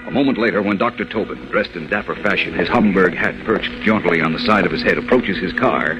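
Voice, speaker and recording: A man's voice: sits low, quick pace, lightly acted, poor audio. A man narrating as announcer in 1940s radio serial style, with dramatic, declamatory scripted delivery. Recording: vintage radio recording. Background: prominent music.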